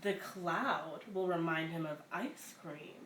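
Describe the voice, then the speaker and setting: A woman's voice: low voice, slow, a bit bright. A woman hosting a children's story time, reading picture books aloud and chatting casually to listeners. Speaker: community center story-time host. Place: echoey room at a community center. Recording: echoey room, clear background noise.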